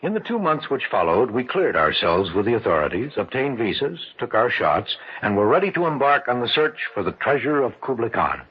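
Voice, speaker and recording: An actor playing a practical, skeptical adventurer, crisp and matter-of-fact in an old-time radio drama. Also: male voice, mid-range voice, big pitch swings, brisk, hardly any pauses. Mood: sober narration. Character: practical, skeptical American adventurer narrating his expedition. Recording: muffled, hissy old radio recording.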